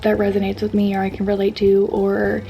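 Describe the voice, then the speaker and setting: A young woman in her twenties chatting casually in a vlog, hoarse as she is losing her voice, soft-spoken and full of "like" fillers. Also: medium pitch, completely flat delivery, fairly quick, a little hiss or hum. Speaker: vlogger and teacher.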